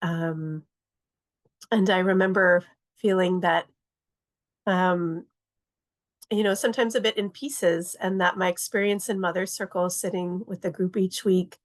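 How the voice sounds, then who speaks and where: A woman with a slightly low voice speaks in a plain, measured way as a circle facilitator. She uses fillers now and then in casual talk. The recording sounds like a video call. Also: steady tone.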